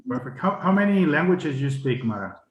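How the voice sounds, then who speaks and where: A man's voice: fairly high pitch, big pitch swings, fairly quick, highs cut off. Man speaking English, echoey. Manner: friendly, repeats words while thinking. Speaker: guest speaker. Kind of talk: colloquium Q&A. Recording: echoey room.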